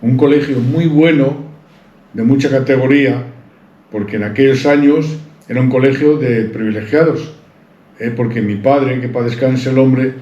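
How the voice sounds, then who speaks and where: A man's voice: medium pitch, moderate pace, warm tone. A man tells his life story in an interview, rambling proudly with many restarts. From Santander, Cantabria, Spain. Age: 70s. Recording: roomy, dull-sounding recording.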